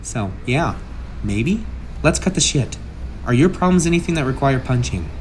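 A man's voice: mid-range voice, big pitch swings, normal speed, slight background noise, close to an everyday voice. A man playing a brash, sarcastic masked antihero with a kind streak, in a theatrical comic book dub. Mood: brash, confiding.

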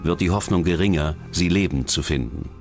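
A man's voice: sits low, brisk, faint music behind. A man reading scripted true-crime documentary narration.

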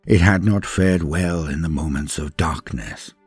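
A man narrating a scripted story in a dramatic, grave, measured manner with pauses for effect, his voice husky. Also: very deep voice, no echo. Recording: music behind the voice. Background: background music.

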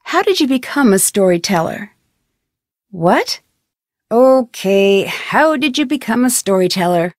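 A woman's voice: medium pitch, big pitch swings, a little slow, dry recording, studio quality. A woman playing a curious, polite young amateur detective in scripted video game dialogue, asking questions in an inquisitive way. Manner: inquisitive, polite, clearly enunciated. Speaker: game protagonist.

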